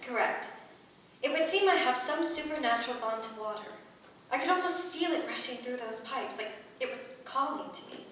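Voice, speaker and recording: An actress in a staged reading playing a sarcastic, blunt, quick-witted woman who is ready to argue. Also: high-pitched, expressive, fairly bright tone. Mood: intrigued. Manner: sardonic, combative. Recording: echoey room, distant mic, faint noise.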